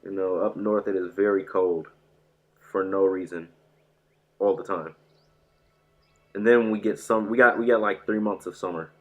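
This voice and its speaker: Male gaming streamer, stuffy voice, low-ish and bright, rambling and excitable. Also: moderate pace, pauses often. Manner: excitable, rambling, says "bro" a lot. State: stuffy from a sore throat. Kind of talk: rambling live-stream chat.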